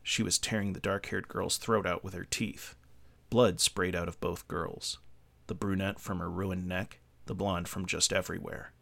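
A man's voice: low-pitched, vocal fry, a bit bright. A man reading scripted horror fiction as a podcast narrator, with music behind him.

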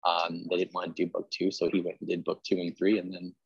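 A man with a deep, creaky voice hosts a loose, informal interview. He rambles in a self-deprecating way, like someone thinking aloud. The sound is dry and slightly muffled, with rough fry in his tone. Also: completely flat delivery, fast, no room sound. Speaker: podcast host interviewing an author. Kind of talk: casual, rambling interview chat.

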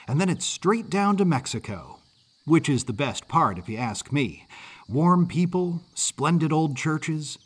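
Chatty circus orphan boy, played by a man: higher pitch, lively swings, eager folksy manner, mid-Atlantic American accent. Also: breathy edge, full character voice.

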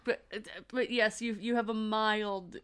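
A woman's voice: medium pitch, fairly even intonation, a bit bright, clear, resonant tone. A woman with a Michigan accent co-hosting a podcast, chatting and teasing her co-hosts. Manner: teasing, quick interjections, laughs. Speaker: podcast co-host. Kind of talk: casual chat.

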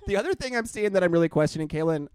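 A young man co-hosting a podcast, laughing and swearing often, with a high voice that sometimes cracks. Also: animated, rapid-fire, darkish tone. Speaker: podcast co-host. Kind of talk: casual chat.

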